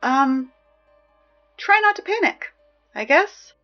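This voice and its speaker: A flustered teenage girl gives casual school announcements in an audio drama. Her voice is high, and she rambles hesitantly and pleadingly. The sound is slightly muffled, with music underneath. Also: big pitch swings, slow pace, heavily acted. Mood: uneasy. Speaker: morning announcements host.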